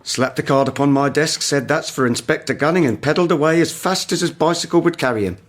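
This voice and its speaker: An actor playing a hearty, scrappy Victorian police sergeant in a radio drama, bluff in manner. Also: male voice, fairly high pitch, quick pace, natural voice.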